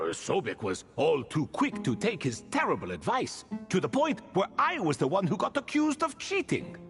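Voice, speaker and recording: A wry, stately ancient spirit drifts between lofty recollection and dry mockery in a video game scene. His pitch swings widely from low musing to sharp amused peaks. Soft music plays behind him. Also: heavily acted. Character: ancient proud male spirit retainer bound in a relic. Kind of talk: video game cutscene dialogue.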